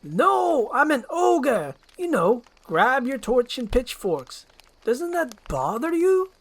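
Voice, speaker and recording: Woman as a grumpy ogre, loud and swooping. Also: high-pitched, sing-song, slow, pitched above the performer's own voice, full character voice. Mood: stern. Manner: gruff, sarcastic, curt, exasperated. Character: grumpy, solitary male green ogre. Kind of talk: amateur screenplay voice acting.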